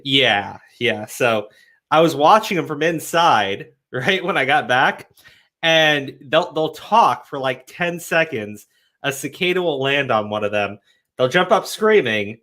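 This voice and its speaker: A man's voice: medium pitch, animated, a little slow, sharp tone. A young man hosting a casual live stream, with a cold and a cough, rambling with many restarts. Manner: rambling, stumbling restarts, laid-back. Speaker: host.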